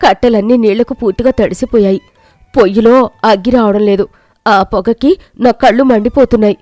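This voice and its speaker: A woman voicing an anxious older village mother-in-law in an animated storybook. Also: fairly high pitch, brisk, no room sound, soft background music, lightly acted. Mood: panicked.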